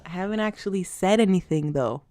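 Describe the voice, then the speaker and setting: Woman acting a low, deadpan anime girl. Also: fairly quick, heavy background noise, lightly acted. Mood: unbothered. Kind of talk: anime fan dub acting.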